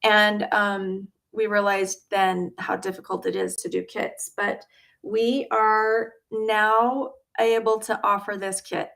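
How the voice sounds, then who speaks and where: A woman's voice: medium pitch, little pitch movement, slow, vocal fry, fairly bright tone. A middle-aged woman from Utah hosting a casual livestream from her home studio, chatty and full of ums and uhs. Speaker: quilting channel host.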